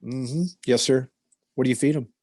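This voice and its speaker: A man playing a deadpan, clipped police detective narrating his case in a 1950s radio parody style. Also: mid-range voice, moderate pace, no echo, natural voice. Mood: dry questioning.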